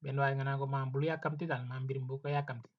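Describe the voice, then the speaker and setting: A man teaching language, repeating each phrase slowly and several times, then explaining it more quickly. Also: medium pitch, flat delivery. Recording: dull lo-fi recording.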